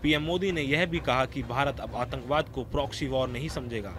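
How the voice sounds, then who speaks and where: A man's voice: mid-range voice, brisk. Man reading news in a formal manner. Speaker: news presenter. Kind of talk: scripted news narration.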